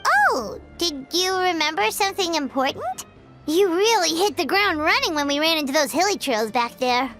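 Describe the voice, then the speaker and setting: Super high squeaky fairy kid for a game, cheeky n excitable, swoopy, music behind. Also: female voice, moderate pace, exaggerated voice. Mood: curious, teasing. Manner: exclamatory, speaks of herself in third person. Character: small excitable floating fairy companion, childlike girl, cheeky. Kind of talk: video game voice acting.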